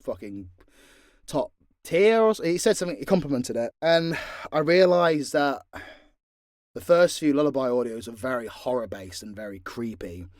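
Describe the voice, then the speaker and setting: A man's voice: medium pitch, animated, normal speed, darkish tone, no room sound, clean studio sound. A British man in a casual, unscripted Q&A as an audio creator, talking bluntly and swearing freely. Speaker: audio drama creator answering fan questions.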